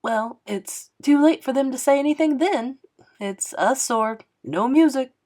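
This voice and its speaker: A woman's voice: high-pitched, lively intonation, unhurried, darkish tone, pitched above the performer's own voice. A woman voicing a wry, easygoing male jazz pianist in a storybook, arguing his case. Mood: matter-of-fact. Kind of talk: acted storybook dialogue.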